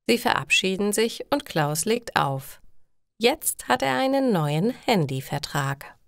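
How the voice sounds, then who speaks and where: A woman reading simple scripted stories for language learners in a measured, clearly enunciated way. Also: mid-range voice, big pitch swings, no echo, studio quality. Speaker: language-learning story narrator.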